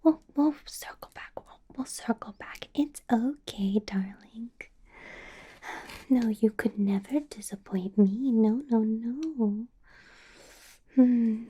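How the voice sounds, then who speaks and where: A woman's voice: on the high side, dark tone. Woman as a whispering, possessive vampire boss. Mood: soothing, doting. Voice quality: breathy. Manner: slow, coaxing, intimate whisper with murmured hums. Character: possessive, doting female vampire boss, smooth-talking and money-minded. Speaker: ASMR roleplay performer. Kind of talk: whispered ASMR roleplay.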